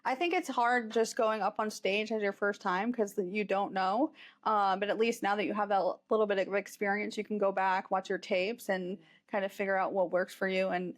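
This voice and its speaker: A woman hosting a podcast, chatting casually as she interviews a guest. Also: mid-range voice.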